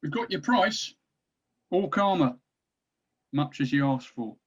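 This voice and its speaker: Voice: mid-range voice, animated, takes it slowly, breathy edge, lo-fi sound. A performer playing a cowardly, exasperated male orc captain plotting in secret at a table read. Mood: desperate.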